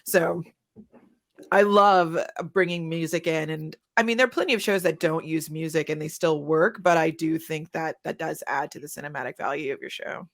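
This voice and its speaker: Woman panelist, audio fiction creator. Low register, conversational, expressive delivery. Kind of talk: casual panel talk.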